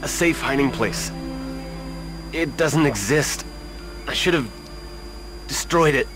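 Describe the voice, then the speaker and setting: A man playing a badly wounded, dying man in a video game, speaking in weak, halting broken phrases. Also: medium pitch, over music, lightly acted. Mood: pained. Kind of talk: video game cutscene acting.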